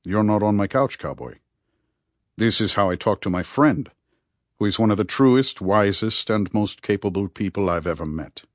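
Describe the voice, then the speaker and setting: A man voicing a thoughtful, probing male therapist and devoted friend in an audiobook, speaking in a measured, earnest, deliberate way. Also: fairly low pitch, lively intonation, no room sound, clean studio sound, natural voice. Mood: fond, chiding. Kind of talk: audiobook character dialogue.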